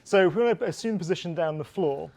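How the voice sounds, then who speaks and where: A man in his fifties, a personal trainer explaining fitness in an energetic, motivational way. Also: on the high side, fast talker, creaky. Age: 50s. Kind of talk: expert explaining.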